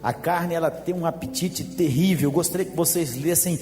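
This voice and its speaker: Male pastor, high voice, emphatic preaching with quiet jokey asides, long hall echo, faint music behind. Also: moderate pace. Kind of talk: sermon.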